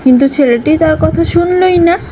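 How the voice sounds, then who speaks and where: A woman's voice: very high voice, normal speed, mellow, a little hiss or hum, poor audio. A woman narrating a scripted story for young children as a storyteller.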